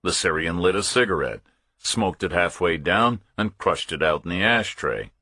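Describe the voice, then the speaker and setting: A man narrates a thriller audiobook in a very low, raspy voice. His delivery is measured and matter-of-fact, and the sound is dry and close. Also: lively intonation, bright, forceful tone, no room sound. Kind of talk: scripted first-person thriller narration.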